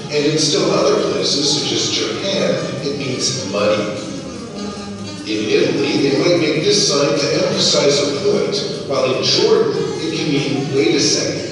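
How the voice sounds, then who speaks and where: A man's voice: a little high, lively intonation, measured pace, distant mic. Man narrating a video over music. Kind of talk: scripted narration. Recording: video played in echoey hall, music.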